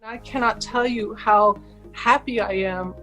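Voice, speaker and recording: A woman in her sixties speaks earnestly and from the heart, at a middle pitch. Faint music plays behind her. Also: a little slow, pushed and bright. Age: 60s. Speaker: fan in a video clip.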